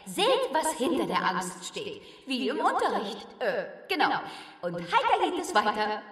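An actress playing a congratulating announcer of a scare course in a children's radio play. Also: female voice, medium pitch, sing-song, unhurried, over loud music. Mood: congratulatory. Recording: distant, very echoey hall-like announcement.

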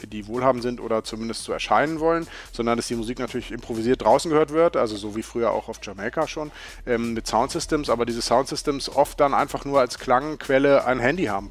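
Male interviewee, journalist type, medium pitch, informal and loosely phrased spontaneous explanation. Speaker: music journalist and author being interviewed.

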